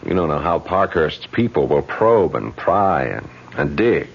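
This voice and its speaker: An actor playing a brash, persuasive campaign manager in a 1970s radio drama, talking fast and teasing. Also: male voice, extremely low pitch, very animated, gravelly, slightly rough, muffled recording, heavily acted. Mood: pushy.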